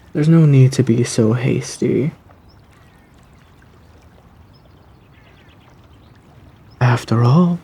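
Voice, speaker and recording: Male actor as suave woodland villain, gravelly and mellow, genteel menace, theatrical phrasing, ASMR audio roleplay. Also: mid-range voice. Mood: veiled threat. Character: suave, sinister gentleman predator lurking in dark woods, theatrically menacing.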